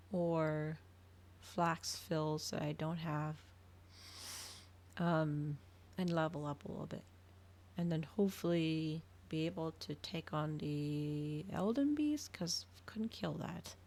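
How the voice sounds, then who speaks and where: A woman's voice: fairly low pitch, creaky, darkish tone. A woman livestreamer chatting casually to her viewers, rambling and thinking aloud as she goes. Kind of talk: casual chat to stream viewers.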